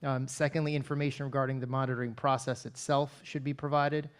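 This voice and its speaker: A man speaking as a town planner gives formal, measured remarks at a planning board meeting in a town hall. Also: mid-range voice, level tone, clear, resonant tone.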